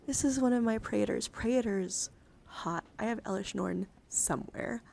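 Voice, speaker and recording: A woman livestreamer chatting casually and informally, excitable and prone to swearing, as she talks viewers through her hobby. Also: mid-range voice, measured pace, creaky. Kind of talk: casual livestream chat while sorting trading cards.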